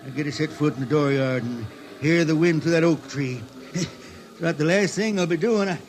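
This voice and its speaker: An actor playing a stubborn, wistful old blind spaceman in a 1950s radio drama, with a folksy American drawl and halting speech. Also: fairly high pitch, a little hiss or hum, natural voice. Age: older. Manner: halting, repeats himself, pleading. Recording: vintage 1950s radio recording.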